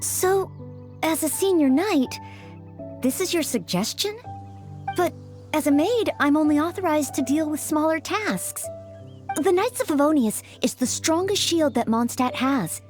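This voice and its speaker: A woman voicing a polite, earnest young maid who trains to be a knight in a video game, gentle and slightly formal in manner. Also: sits high, lively intonation, a little slow, soft background music.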